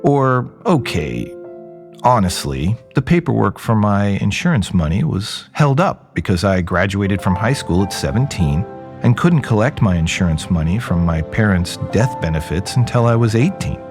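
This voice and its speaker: A man's voice: lowish voice, sing-song, moderate pace, faint music behind. An actor playing a jaded, rebellious young man who tells his own story in a wry, conversational, rambling way. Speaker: narrator and lead character of an audio drama. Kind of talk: first-person dramatic narration, conversational storytelling.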